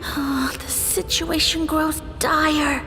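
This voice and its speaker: A woman's voice: high voice, level tone, slow pace. A woman voicing a pompous, scheming little mushroom lord in a grandiose, theatrical way for a video game. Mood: grim, scheming. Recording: long echoing reverb under music.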